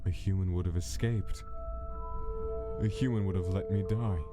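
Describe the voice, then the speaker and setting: A man's voice: low voice, fairly even intonation, moderate pace, natural voice. A man voicing a cold, bitter, weary skeleton scientist in a dramatic comic dub. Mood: bitter, grave. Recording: loud music underneath.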